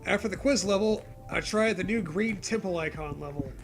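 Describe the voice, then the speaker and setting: A man's voice: high-pitched, normal speed, creaky, faint music behind. A man reading a story aloud for comedy, breaking off to swear and riff casually.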